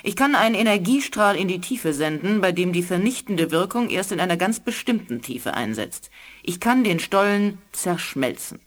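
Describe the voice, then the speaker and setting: A woman's voice: fairly low pitch, sing-song, normal speed. Haughty, imperious alien woman commander. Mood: cool, curt. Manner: imperious, threatening. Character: haughty, ruthless alien woman commander who scorns humans. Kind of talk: 1980s German radio play acting.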